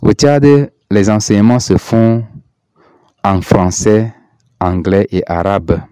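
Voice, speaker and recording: A man from Chad reading a prepared statement in a measured, earnest way. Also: fairly low pitch, steady tone, dark tone, clean tone, dry recording.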